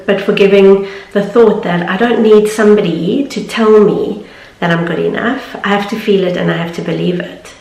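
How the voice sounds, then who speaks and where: A woman, slightly nasal from hay fever, talking earnestly and chattily to her viewers. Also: medium pitch. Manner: chatty, earnest, laughs easily. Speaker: vlogger and confidence coach. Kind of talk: casual talk to camera. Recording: echoey room.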